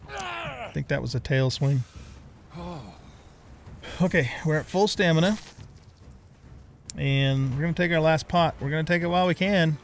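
Middle-aged male let's play host, slightly high voice, casual and reactive, muttering to himself and the game over noticeable background noise. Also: big pitch swings, normal speed, gravelly, pauses often. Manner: talks to himself and to the game, mild swearing. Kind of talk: gameplay commentary, casual and reactive.

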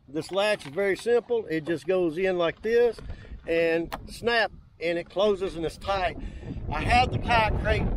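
A man's voice: high voice, a little hiss or hum, low-quality recording. A middle-aged man giving an enthusiastic, folksy, casual walk-through as a video host, speaking outdoors. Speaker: YouTube host demonstrating DIY kayak builds. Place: outdoors on open grass by water.

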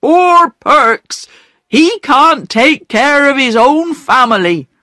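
A woman voicing a proud, poor working man who is gruff and stubborn but kind underneath, speaking slowly and with emphasis. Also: a little high, very animated, dry recording, studio quality, pitched above the performer's own voice, exaggerated voice. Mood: indignant. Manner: slow, emphatic, wounded pride.

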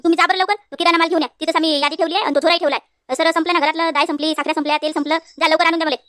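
Woman playing a shrill-pitched, bossy village wife. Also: fairly even intonation, racing, fairly bright tone, dry recording, studio quality. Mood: nagging, impatient. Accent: rural Maharashtra, Varhadi. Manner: curt, demanding. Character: bossy, nagging village wife ordering her husband about. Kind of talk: comic cartoon dialogue in rural dialect.

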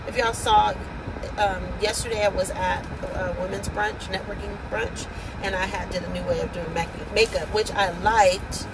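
A woman's voice: mid-range voice, noisy background. A woman, chatting casually to her vlog viewers and calling them y'all. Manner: chatty, addresses viewers as y'all, many fillers. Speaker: vlogger. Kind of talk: casual vlog chat to camera. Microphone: phone.

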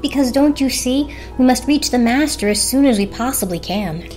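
A narrator voicing a polite, gentle, earnest little toaster in a storybook. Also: high voice, lively intonation, normal speed, soft background music, voice pushed up. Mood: urging.

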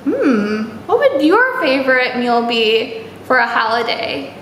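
A young woman with a very high, swooping voice leads a storytime for small children. She speaks in an exaggerated, encouraging manner, often putting questions to her young listeners. The room around her sounds echoey. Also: lively intonation, slow, fairly bright tone. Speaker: library storytime host. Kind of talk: children's storytime read-aloud, chatting and singing to young viewers. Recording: echoey room with faint background noise.

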